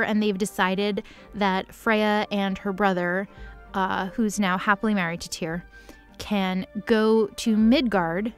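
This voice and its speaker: A woman giving a casual, improvised comedic recap of a story in sarcastic, valley-girl phrasing. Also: mid-range voice. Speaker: recap narrator. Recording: music under the voice.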